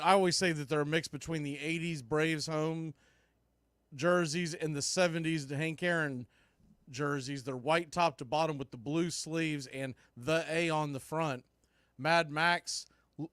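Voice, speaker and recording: A man's voice: on the high side, fairly bright tone, smooth, no room sound, clean studio sound. A man hosting a live baseball radio watch party, hyping the game and calling out chat members by name. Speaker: radio play-by-play host.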